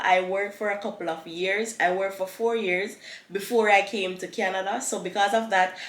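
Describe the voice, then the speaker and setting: A woman's voice: on the low side, fairly bright tone. A young woman with a slightly congested voice, explaining things to camera like a tutorial host. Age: young adult. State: congested from a cold. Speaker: YouTube host giving advice. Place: small living room on a couch, indoors. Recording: roomy, echoing living room.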